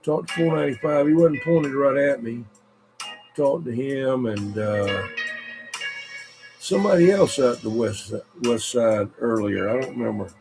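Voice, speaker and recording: Slow, rambling man on CB radio. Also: medium pitch, gravelly, over music, rough recording. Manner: rambling, folksy. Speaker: CB radio operator hosting a radio night show. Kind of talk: casual radio chat.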